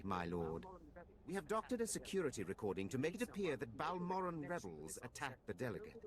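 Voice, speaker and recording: An actor playing a scheming, deferential Imperial officer giving a formal, conspiratorial briefing. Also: male voice, mid-range voice, close to an everyday voice.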